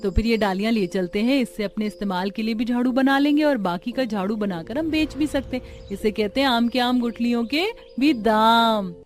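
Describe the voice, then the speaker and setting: Woman doing practical young village bahu in a cartoon, earnest naggy talk, soft music under. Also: a little high, normal speed, hardly any pauses, close to an everyday voice. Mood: resourceful, earnest. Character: practical, outspoken young village daughters-in-law. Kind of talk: Hindi cartoon story dialogue.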